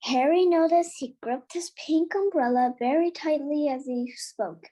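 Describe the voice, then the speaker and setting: A child reading a storybook aloud in a halting way, with stumbles and repeated words. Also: high voice, warm tone. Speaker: student reader in a children's reading club.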